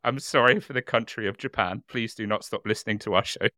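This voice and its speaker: A man's voice: lowish voice, quick pace, pushed and bright, no room sound, studio quality. A man hosting a podcast who laughs easily, chats casually and then reads out a case. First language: English. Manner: giggly, self-deprecating, reads narration. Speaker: podcast host. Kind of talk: casual chat and reading a case.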